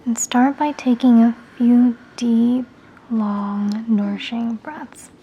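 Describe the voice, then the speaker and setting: A woman leading a guided meditation in a soft, soothing, unhurried way, often close to a whisper. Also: a little high, steady tone, slightly rough, lo-fi sound.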